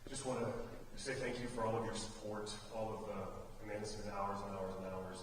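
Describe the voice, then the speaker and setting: An American man giving an informal, folksy speech as a theater director honoring students, halting and often repeating phrases. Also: lowish voice, little pitch movement. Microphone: distant microphone. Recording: very echoey hall, distant mic, faint noise.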